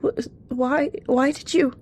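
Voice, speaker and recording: A woman's voice: a little high, animated, moderate pace, warm tone, a little hiss or hum, pitched above the performer's own voice. A woman voicing a naive, trusting young female cat apprentice in a chirpy storytelling roleplay. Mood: pained, frightened. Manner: chirpy, mumbling when unsure.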